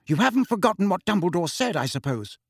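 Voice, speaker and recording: A man's voice: high-pitched, sing-song, fast talker, gravelly, breathy edge, dry recording, studio quality, voice pushed up, heavily acted. A man voicing a stern, protective middle-aged mother with an emphatic, scolding manner in an audiobook. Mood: sharp, dangerous. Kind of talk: audiobook character voice.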